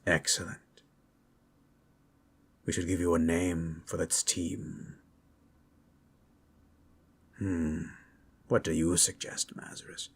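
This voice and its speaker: A grave old sage from a fantasy audio drama, played by a man. The voice is deep, husky and slow, with formal, deliberate phrasing and a solemn, measured temper. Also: little pitch movement, full character voice. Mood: formal, pensive.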